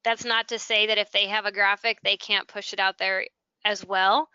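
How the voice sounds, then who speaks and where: An American woman with a bright, clear voice talks in a conversational, explanatory way, walking listeners through examples. Her intonation stays fairly flat, and the close, dry audio sounds like an online presentation. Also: mid-range voice, normal speed, dry recording, highs cut off. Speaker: school technology integration specialist, webinar guest. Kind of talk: conversational software walkthrough.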